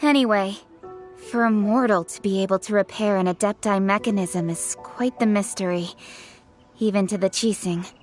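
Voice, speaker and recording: A woman's voice: medium pitch, moderate pace, darkish tone, soft background music, natural voice. A woman playing a proud, forthright young female official in a video game, speaking formally and assertively. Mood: businesslike. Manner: formal, assertive, crisp phrasing.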